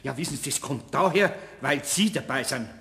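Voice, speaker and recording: A man's voice: mid-range voice, expressive, moderate pace, pushed and bright, a little hiss or hum. An actor playing a flustered, good-hearted older craftsman in a German radio play, rambling and stammering in homely Bavarian dialect. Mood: flustered, evasive. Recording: reverberant studio radio play.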